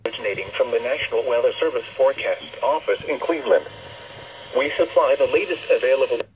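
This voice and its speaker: A man's voice: mid-range voice, fast, muffled recording, slight background noise. An American man reading a scripted weather broadcast as an announcer, heard through a radio speaker.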